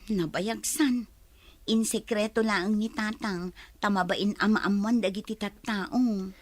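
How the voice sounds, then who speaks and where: A woman's voice: mid-range voice, steady tone, slow pace, no echo. A woman playing a blunt, gossipy village mother in a radio drama, chatty and outspoken. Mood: gossipy, wary.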